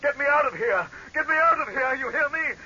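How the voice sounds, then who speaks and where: An actor playing a pompous, tyrannical dictator in 1930s radio horror drama style, haughty and imperious. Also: male voice, very high voice, animated, fairly quick, sharp tone, exaggerated voice. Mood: frantic, desperate. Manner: imperious, haughty, barking orders. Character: pompous tyrannical male dictator, commanding, scornful, cracking into panic. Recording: hissy, dull 1930s radio recording.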